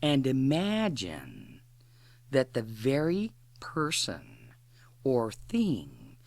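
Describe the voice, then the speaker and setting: A woman leading a guided imagery exercise in an instructional way, speaking in deliberate short phrases with long pauses. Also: extremely low pitch, huge pitch swings, darkish tone, dry recording.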